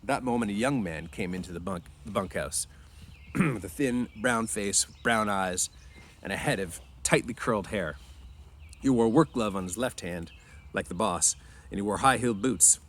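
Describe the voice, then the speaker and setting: A man narrating a story in an informal read-aloud, often clearing his throat. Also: on the low side, normal speed, a bit bright, slight background noise. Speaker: reader.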